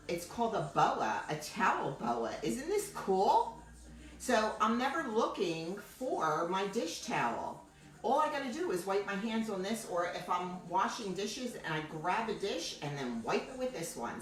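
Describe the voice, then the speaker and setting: A middle-aged woman with a loud, deep, low-pitched voice hosts a chatty, rambling cooking livestream. She talks from a distance in an echoey home kitchen. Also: background noise. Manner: chatty, rambling, thanks viewers often. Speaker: cooking show host. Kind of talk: live-streamed cooking demo chat.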